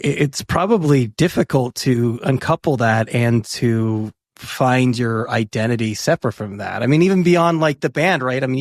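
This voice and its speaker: A man's voice: mid-range voice, no room sound, studio quality. A man hosting a podcast, asking long rambling questions with restarts in a casual tone. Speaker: podcast host.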